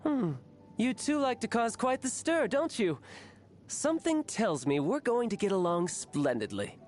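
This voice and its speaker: A man's voice: very high-pitched, very animated, unhurried, darkish tone, faint music behind, exaggerated voice. A man playing a cocky, cheerful young warrior in a video game, with a playful, confident, theatrical delivery. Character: cocky, cheerful young swordsman who relishes battle.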